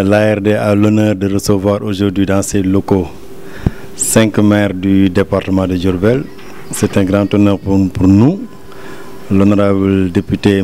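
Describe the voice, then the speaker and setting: A man's voice: low-pitched, dark tone, slight background noise. A man with a Senegalese French accent giving a formal, explanatory statement as an agency director in a meeting room. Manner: explanatory, official phrasing.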